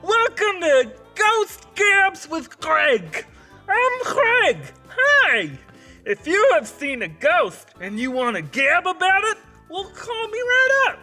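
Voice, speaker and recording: A man's voice: very high voice, huge pitch swings, slow pace, bright, forceful tone, soft background music, full character voice. A man voicing an eager, oblivious hunchback handyman who hosts a comic radio call-in, chirpy and repetitive.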